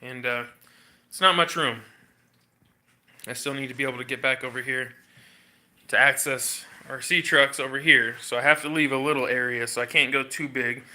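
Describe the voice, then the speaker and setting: A man with a stuffy, congested voice hosts a casual morning livestream from his workshop. His tone is very bright and sharp, sitting at an ordinary middle pitch. He rambles easily, full of fillers and slang. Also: steady tone, normal speed, pauses often. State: congested and coughing. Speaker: host of an RC hobby livestream. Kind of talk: casual livestream chat while showing a build. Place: home workshop or garage.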